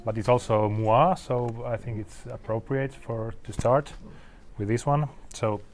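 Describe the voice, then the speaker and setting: A Finnish man in his forties, a designer giving a casual talk with slides to an audience, halting and self-deprecating, clearing his throat often. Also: medium pitch. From Finland. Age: 40s. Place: event venue in front of an audience.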